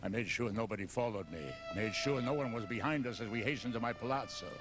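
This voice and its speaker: A man's voice: mid-range voice, fast talker, lightly acted. An actor playing a vengeful Venetian nobleman who narrates a gothic audio drama, theatrical and brooding, seething beneath sly false courtesy. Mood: brooding, gloating. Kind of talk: melodramatic gothic radio drama narration and dialogue. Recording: music under the voice.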